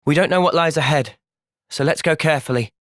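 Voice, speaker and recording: An earnest young soldier hero from a video game, acted by a man, with an English accent and a close, dry sound. He is gentle and thoughtful, speaking with measured, soft seriousness. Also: on the high side, dry recording, close to an everyday voice. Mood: calm, resolute. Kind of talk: video game cutscene voice acting.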